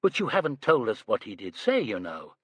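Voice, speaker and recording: A man as a polite, measured police superintendent. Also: lowish voice, huge pitch swings, dry recording. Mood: courteous. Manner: courteous, measured.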